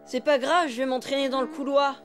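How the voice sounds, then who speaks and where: A woman voicing an energetic, stubborn young boy elephant with a casual manner in a French cartoon. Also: high voice, a little fast, soft background music, natural voice. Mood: eager.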